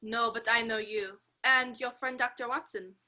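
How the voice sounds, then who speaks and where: A woman playing a smoothly polite, sly male French police inspector, courteous and formal, in a radio play. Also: fairly high pitch, steady tone, moderate pace, sharp tone. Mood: knowing. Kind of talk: 1940s radio play acting.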